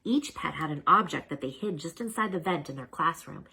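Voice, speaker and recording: A woman reading a children's story aloud in an animated narrating style. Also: deep voice, fairly quick, vocal fry, slight background noise. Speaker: storybook reader.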